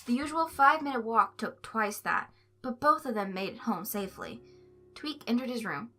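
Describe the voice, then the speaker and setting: A woman narrating a story aloud in an expressive, scripted reading style. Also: fairly high pitch, normal speed, soft background music. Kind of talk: expressive fan fiction reading.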